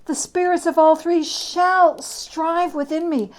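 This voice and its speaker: A woman's voice: very high voice, steady tone, slow, voice pushed up. A woman playing a repentant old miser man in a dramatic storybook reading, stammering and exclaiming with overwrought emotion. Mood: pleading, desperate. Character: repentant old miser man, pleading then giddy with joy.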